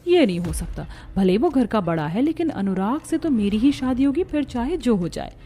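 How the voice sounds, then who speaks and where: A woman's voice: a little high, very animated, moderate pace, dark tone. A woman playing a vain, scheming, selfish young bride in a cartoon family melodrama. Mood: smug scheming.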